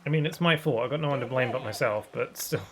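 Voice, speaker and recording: A man streaming a game, chatting casually and thinking aloud, a bit sniffly from hay fever, with bursts of excitement. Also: mid-range voice, quick pace, faint music behind. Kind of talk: casual gaming commentary.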